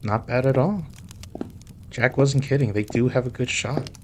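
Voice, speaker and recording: A man playing a suave, playful mafia boss in an audio roleplay drama, with a teasing, smooth manner. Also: medium pitch, normal speed, slight background noise, natural voice. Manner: teasing, smooth, code-switches into Spanish.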